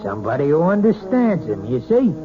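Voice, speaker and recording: A sneering bully husband. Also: male voice, a little high, huge pitch swings, a little fast. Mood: boozy. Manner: sneering, swaggering. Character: boozy bullying husband, middle-aged man. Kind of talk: 1970s radio drama acting. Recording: tinny old radio broadcast with music.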